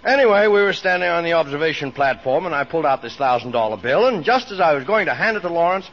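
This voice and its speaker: A breezy, wealthy businessman in a 1940s radio play. His slightly high voice swings in a lively way. The old recording is dull, with faint noise. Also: fast. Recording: muffled, noisy vintage 1940s radio broadcast.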